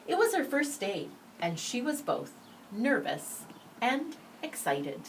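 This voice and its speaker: A woman's voice: a little high, slow pace. Woman reading a storybook, distant and noisy. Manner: expressive, acts out the dialogue. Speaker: story reader. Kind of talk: read-aloud of a children's picture book with brief asides. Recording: distant microphone, background noise.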